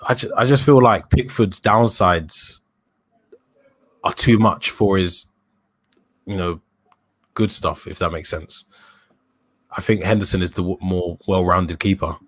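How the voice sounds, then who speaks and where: A young man in his early twenties, a podcast host, calmly building his case in a casual football chat. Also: deep voice, a little slow, pauses often, no room sound. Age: young adult. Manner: thoughtful, builds an argument with hesitations.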